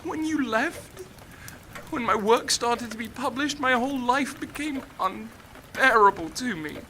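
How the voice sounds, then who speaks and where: Anguished young writer, tense voice. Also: male voice, very high-pitched, animated, a little slow, bright, forceful tone, a little hiss or hum, exaggerated voice. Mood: despairing. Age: young adult. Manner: pleading, emotional, repeating himself. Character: anguished, lovesick young male writer, needy and despairing. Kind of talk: naturalistic audio drama acting.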